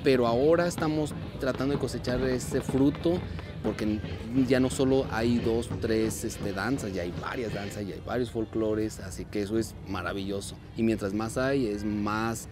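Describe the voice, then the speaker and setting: A man in his thirties from Mexico, a dance and theater group director, speaking earnestly and at a measured pace in an outdoor interview, with frequent fillers and restarts. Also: lowish voice, steady tone. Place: outdoors at a street parade. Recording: outdoor clip with music behind. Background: festive music.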